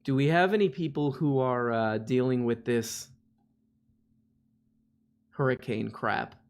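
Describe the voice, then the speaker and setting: A man's voice: mid-range voice, slow pace. A man from Florida hosting a livestream, chatting casually in a rambling, self-mocking way full of fillers and restarts. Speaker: livestream host. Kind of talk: casual chat.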